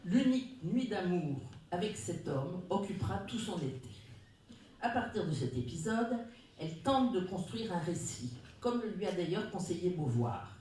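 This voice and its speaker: Round-table speaker, measured formal reading, far from the mic, booming room. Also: very animated, a little mellow, a little hiss or hum. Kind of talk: reading a prepared talk. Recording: very echoey room, distant mic.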